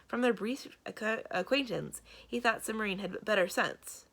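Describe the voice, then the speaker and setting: A woman reading a children's story aloud as an expressive library storyteller. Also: medium pitch, normal speed.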